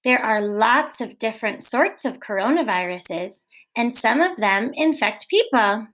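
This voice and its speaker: Woman reading aloud to children, bit high. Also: measured pace, rough recording. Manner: gentle, clear, expressive reading with questions. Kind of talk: read-aloud storytelling for children.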